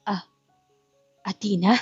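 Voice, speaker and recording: A woman's voice: slow, dark tone, no echo, lo-fi sound, faint music behind, lightly acted. A woman voicing a regretful male engineer in an audio drama, hesitant and pleading. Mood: shaken, pleading.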